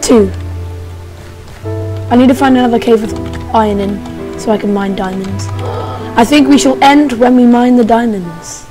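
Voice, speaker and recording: Young female gamer, excitable and playful, higher voice, slow delivery, light music bed. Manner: excitable, squealing, playful sing-song noises. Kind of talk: casual let's play commentary.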